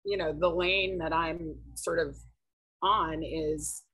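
Woman author on a podcast, kinda husky, lowish voice, lots of ums. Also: steady tone, slow pace, fairly bright tone, low-quality recording. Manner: thoughtful, hesitant with many ums. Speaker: author guest. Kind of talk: casual podcast interview.

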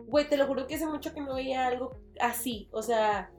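A woman's voice: sits high, fairly quick, darkish tone, faint music behind. A young woman with a Mexican accent, co-hosting a casual podcast chat, slangy and full of swearing. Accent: Mexican Spanish. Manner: slangy, swears freely, ranting and laughing. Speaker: podcast co-host.

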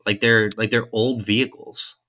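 A man's voice: fairly low pitch, level tone, moderate pace, sharp tone. A man co-hosting a podcast, chatting casually, laughing often and filling his talk with "like.". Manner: laughs often, many "like" fillers. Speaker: podcast co-host. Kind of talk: casual chat.

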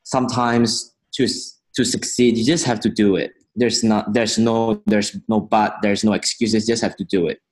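A man in his twenties from Indonesia, an interview guest speaking earnest, halting English. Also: on the low side, flat delivery, low-quality recording. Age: 20s. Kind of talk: casual interview.

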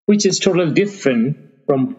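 A man's voice: a little high, lively intonation, a little fast, mellow, husky. Bangladeshi man, musing in muffled reverb. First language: Bengali. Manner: thoughtful, searching for words with frequent fillers. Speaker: interview guest. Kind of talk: conversational interview. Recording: long reverb, muffled lo-fi sound.

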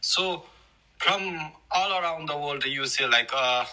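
A man's voice: on the high side, takes it slowly, sharp tone, low-quality recording. A young man, hosting a student podcast and putting questions to a guest. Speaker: student podcast host, interviewer.

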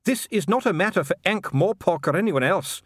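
A man's voice: high-pitched, expressive, brisk, dry recording, clean studio sound, pitched above the performer's own voice. A man voicing an officious, evasive dwarf official in formal, clipped, protesting speech for an audiobook. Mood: indignant.

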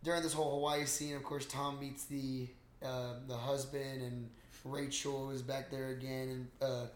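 A man co-hosting a casual podcast, retelling a film in slangy, swear-heavy, animated talk. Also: mid-range voice, normal speed, a little hiss or hum. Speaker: podcast co-host. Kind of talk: casual movie recap chat. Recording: roomy, echoey sound.

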